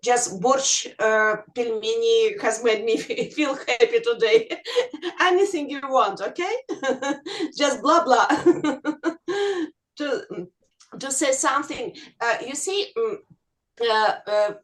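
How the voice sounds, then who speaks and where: Russian accent lady, slow n hesitant, giggly, kinda high voice, chatting on a group call. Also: expressive. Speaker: English teacher. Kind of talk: casual chat in an online group meeting. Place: online video call.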